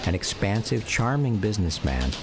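A man's voice: lowish voice, big pitch swings, moderate pace. An American man narrating his family's history in a scripted radio documentary drama. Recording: music behind the voice.

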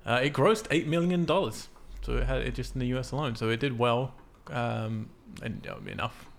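A man's voice: mid-range voice, very animated, normal speed, vocal fry. A man hosting a casual film podcast, explaining things in an informal, sweary way. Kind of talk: casual film discussion from notes.

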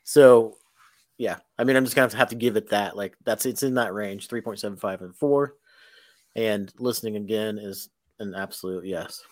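Relaxed agreeable male podcast co-host. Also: on the low side, moderate pace. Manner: relaxed, agreeable, mumbling asides. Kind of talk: casual music podcast chat.

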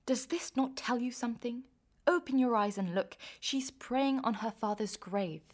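A woman's voice: a little high, lively intonation, moderate pace. A woman playing a stern, suspicious Turkish official in a radio play, interrogating and lecturing with sarcasm. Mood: accusing.

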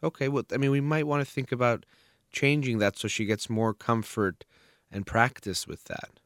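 A man acting as a counselor, calmly advising a parent on their child, talking in a measured way with many fillers. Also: medium pitch, no room sound, clean studio sound. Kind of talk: consultation with a parent.

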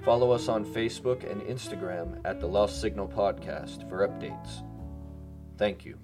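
A man with a low voice reads as a podcast announcer. His delivery is formal, measured and clearly scripted. Music plays behind him. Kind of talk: scripted narration, polished radio-host announcements.

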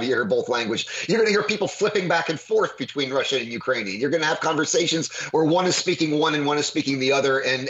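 A man's voice: a little high, fairly bright tone, highs cut off. A man hosting a discussion, emphatic, often repeating words as he restarts sentences. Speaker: host. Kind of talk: conversational discussion.

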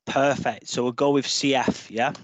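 A man hosting a panel podcast, introducing guests and guiding the talk in a relaxed way. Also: medium pitch, normal speed, dry recording. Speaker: podcast host.

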